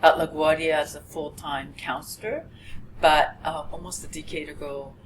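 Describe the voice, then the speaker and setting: A woman's voice: deep voice, fairly even intonation, normal speed, a little hiss or hum, rough recording. Chatty Japanese woman, laughs easily. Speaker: counselor and Japanese instructor. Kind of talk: casual self-introduction.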